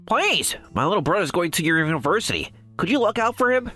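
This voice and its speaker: A woman's voice: fairly low pitch, very animated, fast talker, pushed and bright, soft background music, heavily acted. A woman voicing an earnest, pleading friend asking a favour in an anime-style story dub. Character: pleading older sibling, a friend of the hero.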